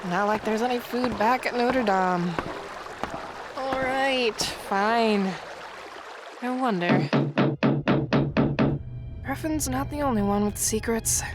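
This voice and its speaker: A woman's voice: fairly high pitch, takes it slowly, long pauses, over loud music. A woman playing a stubborn, weary, sardonic adventuress in a radio drama, muttering with dry sarcasm. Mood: grumbling.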